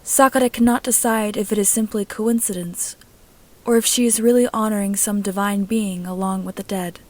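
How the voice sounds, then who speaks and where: A woman's voice: mid-range voice, fairly even intonation, moderate pace. A woman reading a scripted story aloud as an amateur narrator.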